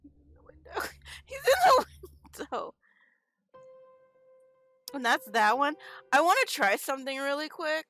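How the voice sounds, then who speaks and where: A woman streamer giving casual, excitable commentary while playing a game. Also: sits high, a little slow, long pauses, faint music behind. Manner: excitable, expressive. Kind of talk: casual reactive gameplay commentary.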